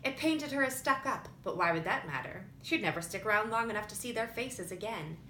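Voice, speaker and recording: A woman's voice: expressive, a little fast, pushed and bright. A woman reading scripted fiction aloud as an audiobook narrator. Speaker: audiobook reader. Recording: roomy echo with faint rumbling noise.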